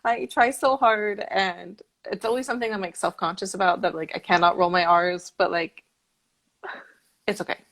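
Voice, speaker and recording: Female interviewer, medium pitch, informal and agreeable, explanatory conversational delivery. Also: fairly even intonation, moderate pace, fairly bright tone.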